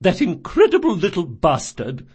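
Guy doing a bossy old granny shopkeeper, high strong voice, emphatic, fierce but motherly, audiobook. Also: huge pitch swings, moderate pace, darkish tone, breathy edge, heavily acted. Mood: furious, commanding. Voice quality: strong carrying voice. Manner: emphatic, commanding.

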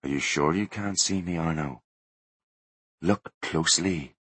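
A man voicing an older male bodyguard who poses as a ghost, speaking slowly, quietly and ominously. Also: extremely low pitch, slightly rough, no room sound, full character voice. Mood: menacing.

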